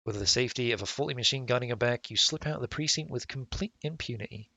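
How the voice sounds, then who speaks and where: A man's voice: medium pitch, fast, gravelly, noisy background. A man live-streaming a game, reading its text aloud and commenting in a casual, slangy, sweary way.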